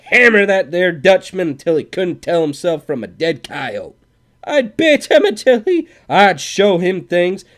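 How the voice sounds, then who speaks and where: A man voicing a rough, hot-tempered cowboy who talks bluntly and ungrammatically. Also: lowish voice, moderate pace, hardly any pauses, a little mellow, natural voice. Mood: quivering rage.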